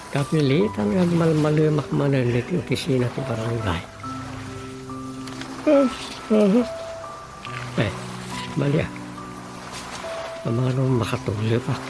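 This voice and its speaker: A reluctant village hall worker in a radio comedy. He talks colloquially in a mid-pitched, grumbling voice with an Ilocano accent. Music plays behind him. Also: gravelly. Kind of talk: comic radio drama acting.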